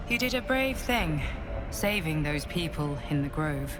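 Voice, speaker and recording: Weary earnest video game guardian lady, slow n measured, music behind her. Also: low-pitched, expressive. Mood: gentle, reassuring. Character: weary, guarded, gentle female guardian in dreams. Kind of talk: video game cutscene acting.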